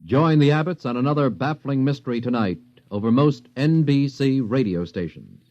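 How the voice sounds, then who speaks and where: A man's voice: medium pitch, normal speed, darkish tone, no room sound. An American man reading a scripted promo in 1950s radio announcing style. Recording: dull old radio recording.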